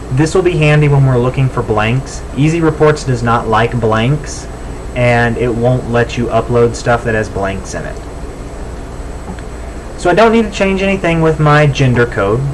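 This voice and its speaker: An American man giving an informal, step-by-step software tutorial as the instructor. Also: medium pitch, background noise. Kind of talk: software walk-through tutorial.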